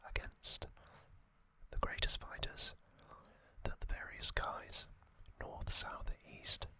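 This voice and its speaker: An ASMR host giving a relaxed recap in a soft, unvoiced whisper, with casual fillers and small asides. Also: slow pace.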